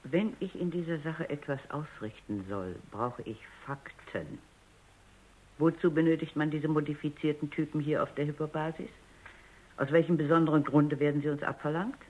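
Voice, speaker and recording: A woman playing a stern, sharp-minded robot psychologist with a blunt, probing manner in a German radio drama, on a thin radio recording. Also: low-pitched, moderate pace, breathy edge, highs cut off. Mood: decisive, demanding.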